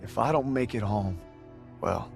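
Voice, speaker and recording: An actor playing a weary, earnest young American soldier, speaking as a reflective voice-over in a war game. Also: male voice, fairly low pitch, lively intonation, unhurried, creaky, soft background music. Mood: wistful, earnest. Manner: somber, earnest. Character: weary, earnest young American farm-boy soldier narrating his letters home.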